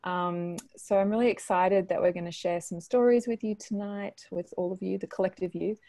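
A woman's voice: medium pitch, steady tone, moderate pace, warm tone, dry recording. A woman in her thirties giving a casual introduction as a guest on an online panel. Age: 30s. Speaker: guest speaker, conversation partner. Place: living room at home. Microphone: wired earphone mic on video call.

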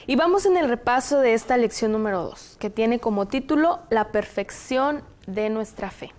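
A young woman from Zacatecas with a Mexican Spanish accent, hosting a studio discussion on a lapel mic in a TV studio, speaking in a measured, explanatory way. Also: fairly high pitch, lively intonation, darkish tone. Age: young adult. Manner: measured, explanatory, reads passages aloud. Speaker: host. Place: television studio, indoors.

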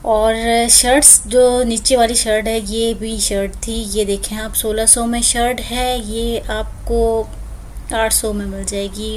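A woman's voice: on the high side, slight background noise, low-quality recording. A woman, chatting through a clothing store walkthrough as a shopping vlogger, filmed on a phone. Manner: chatty, lists prices and discounts.

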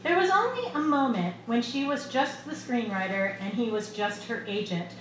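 A middle-aged woman reading her own short fiction aloud at a live event, in a measured, expressive storytelling delivery. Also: on the high side. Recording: echoey bar room with loud background noise.

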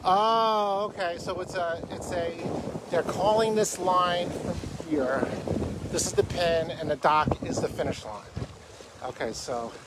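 A man giving a running, excited commentary on a sailboat race while sailing his own small boat and filming on a phone. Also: sits high, measured pace, heavy background noise. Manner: excited, breathless running commentary, talking to himself. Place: small sailboat out on open water, windy. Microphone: phone camera held on the boat.

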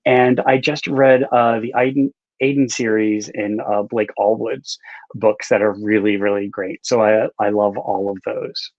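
A man's voice: lowish voice, fairly even intonation, unhurried, darkish tone, dry recording. A middle-aged man chatting casually and self-deprecatingly as he answers interview questions in a rambling way over a video call. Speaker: author and writing teacher. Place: small book-lined room indoors, on video call. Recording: dull lo-fi video-call audio.

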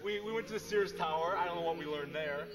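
A man's voice: fairly high pitch, fast. A man in his late teens giving a mock-formal club tribute in a tongue-in-cheek pompous manner. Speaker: high school club spokesman. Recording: reverberant old tape under loud music.

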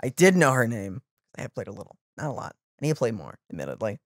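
A young man giving casual reaction commentary, animated and often searching for words. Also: mid-range voice, fast, no echo, clean studio sound. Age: young adult. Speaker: reaction channel host. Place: small room at home. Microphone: boom-arm microphone, close.

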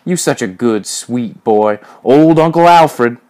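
A man's voice: medium pitch, lively intonation, quick pace, darkish tone, pitched above the performer's own voice, lightly acted. A man voicing a swaggering, muscular young bully who leads a gang in a story, with sneering, taunting, slangy talk. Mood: mocking, sneering.

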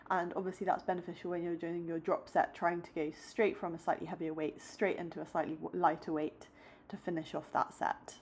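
A young woman in her twenties talks casually to her followers. Her voice sits a little low for a woman, upbeat and chatty when close to a phone, then steady and explanatory as she walks through her training. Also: slight background noise. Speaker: fitness vlogger. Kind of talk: casual vlog chat and workout voice-over. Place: indoors at home, close to a phone camera.

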